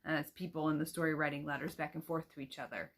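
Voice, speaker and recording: A woman with a fairly low voice speaks as a reading teacher. She explains how to read aloud in an instructional way, adding small check-in questions as she goes. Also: steady tone, fast talker. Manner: explanatory, checks understanding with "right?". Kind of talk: instructional talk with storybook reading examples.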